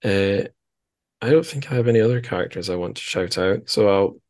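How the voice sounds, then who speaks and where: A man hosting a casual interview show, thinking aloud in a halting way with wry asides. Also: fairly low pitch, darkish tone. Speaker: podcast host, interviewer.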